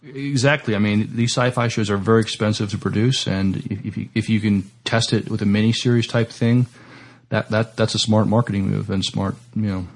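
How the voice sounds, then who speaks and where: A man with a fairly low voice and a lot of fry in it co-hosts a podcast. He talks casually and haltingly, often stumbling over his words as he works through entertainment news and opinions. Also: steady tone. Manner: halting, stammering, tentative. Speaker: podcast co-host.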